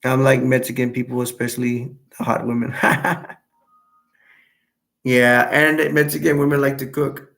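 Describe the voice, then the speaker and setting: A young man from Texas chatting casually on a live stream, answering viewers in a rambling, halting way with many restarts. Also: mid-range voice, level tone, vocal fry, a bit bright. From Texas, United States.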